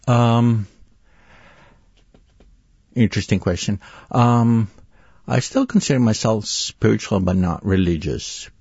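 A man's voice: on the low side, takes it slowly, pauses often, a little mellow, breathy edge, no echo, highs cut off. A man from Italy with an Italian accent, speaking thoughtfully as a guest in a conversational interview.

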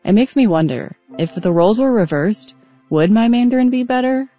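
A woman in her twenties narrating her own story in a reflective, measured, scripted way. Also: fairly high pitch, expressive, mellow, no echo, soft background music. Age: 20s. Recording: dull, muffled audio.

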